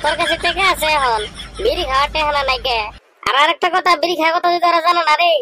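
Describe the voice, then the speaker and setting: Man doing a high, fast-talking cartoon village schemer. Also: a loud crowd. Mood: pushy, scolding. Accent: rural regional Bengali. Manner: colloquial, badgering, persuasive. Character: scheming, pushy young village bachelor pal. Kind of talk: comic cartoon dialogue.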